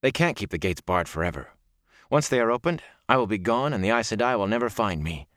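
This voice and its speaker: Guy reading as earnest young village lad, fantasy audiobook hero, stubborn n troubled, lively pitch, dry sound. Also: fairly quick, dry recording. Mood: resigned, troubled. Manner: earnest, insistent.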